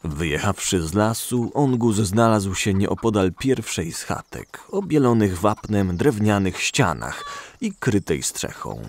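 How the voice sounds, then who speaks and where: A man's voice: on the low side, very animated, measured pace, a little hiss or hum. A man reading scripted narration for a fantasy audio drama as its storyteller.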